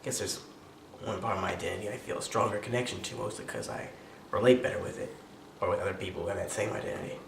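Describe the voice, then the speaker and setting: Low gravelly man, calm and reflective. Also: fast. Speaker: interviewee. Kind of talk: casual interview answers. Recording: distant mic in an echoey noisy room.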